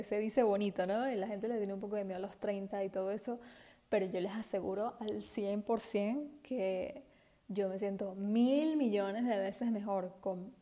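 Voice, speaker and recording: A woman's voice: medium pitch, warm tone. A woman in her late twenties hosting a solo podcast, talking casually and confidingly to her listeners with many fillers and restarts. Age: 20s. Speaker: podcast host.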